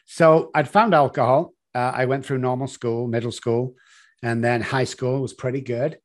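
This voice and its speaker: A middle-aged man with a Manchester accent, a guest telling his life story in an animated, rambling way with many fillers. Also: medium pitch, moderate pace. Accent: Mancunian English. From Manchester, England. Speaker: guest, addiction consultant. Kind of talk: conversational storytelling.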